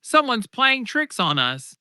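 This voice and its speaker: A man's voice: very high-pitched, very animated, slow, bright, forceful tone, smooth, dry recording, studio quality, voice pushed up, full character voice. A man voicing a nervous, urgent teenage girl in a spooky audiobook story. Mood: uneasy. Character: nervous teenage girl exploring a haunted cemetery.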